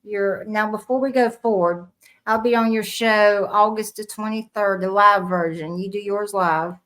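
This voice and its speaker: A woman's voice: medium pitch, fairly even intonation, moderate pace, a bit bright. A woman hosting a podcast, speaking in a casual, conversational interview style. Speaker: podcast host.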